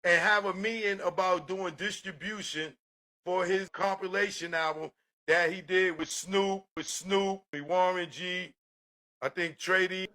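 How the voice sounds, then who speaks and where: A man's voice: sits high, steady tone, a little slow, bright, forceful tone. A man talking argumentatively, laying out details point by point.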